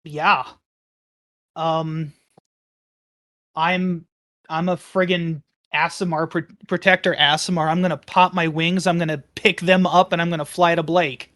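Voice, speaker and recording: A woman roleplaying as a player in a tabletop game, casual and playful, with an animated, dramatic manner. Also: fairly low pitch, unhurried.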